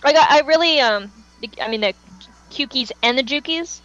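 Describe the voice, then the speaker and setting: A woman's voice: sits high, moderate pace, fairly bright tone, no echo, faint music behind. A woman co-hosting a fan podcast in casual, enthusiastic and opinionated chat. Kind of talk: casual chat, reacting to a music video. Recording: dull lo-fi voice chat audio.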